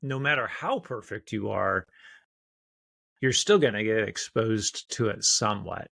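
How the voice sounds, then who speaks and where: A man speaks as a doctor co-hosting a casual health discussion. His voice is gravelly with vocal fry and sits at an ordinary pitch. The sound is dry, and he talks in an informal, conversational way. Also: measured pace, bright, forceful tone, no room sound.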